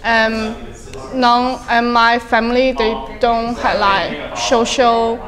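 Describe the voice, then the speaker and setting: A woman's voice: fairly high pitch, flat delivery, poor audio. Eighteen-year-old woman from southern China. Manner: halting, with fillers and restarts. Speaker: immigrant student interviewee. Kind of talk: candid interview answers. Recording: crowd chatter behind.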